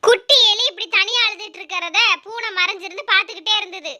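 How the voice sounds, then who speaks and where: A woman's voice: extremely high pitch, fast talker, sharp tone. A woman narrating a scripted children's animal story.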